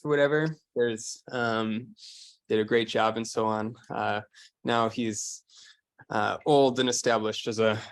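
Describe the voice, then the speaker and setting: A man's voice: mid-range voice, no echo. Casual man hosting, close dry sound. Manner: rambling, loosely phrased. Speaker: summer school host introducing a speaker. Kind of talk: casual, off-the-cuff introduction.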